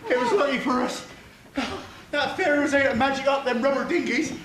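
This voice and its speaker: A cheeky pantomime sidekick played by a woman chatters in a high, colloquial voice. The recording is echoey and noisy, like a stage in a hall. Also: a little fast, lightly acted. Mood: chatty. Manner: jokey, colloquial.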